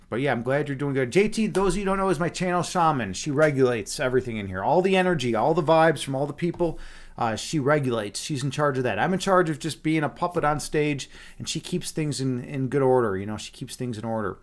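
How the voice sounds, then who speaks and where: Chicago streamer, young guy, slightly high voice, easygoing chat, lots of man and dude. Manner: laid-back, chatty, frequent "man" and "dude", many restarts. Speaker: streamer and chess instructor. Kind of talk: casual live-stream chat with viewers.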